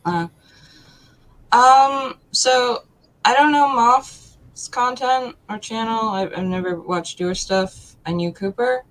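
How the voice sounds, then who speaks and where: A woman, answering casually and haltingly as a talk show guest. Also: a little high, rough recording. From Poland. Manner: hesitant, halting.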